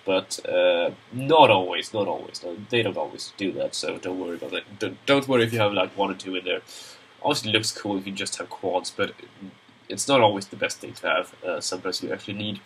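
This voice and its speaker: A man's voice: fairly low pitch, quick pace, a bit bright, slight background noise, poor audio. A young man with a Swedish accent, nasal from a cold, narrating a screen-recorded modeling tutorial in a rambling, chatty way with many fillers. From Sweden.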